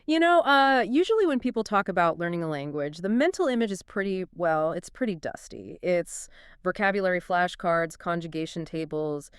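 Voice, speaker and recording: Woman podcast co-host, lower voice, eager, leads the talk, dead dry close sound. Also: lively intonation, moderate pace, clear, resonant tone, no room sound. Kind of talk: scripted podcast conversation.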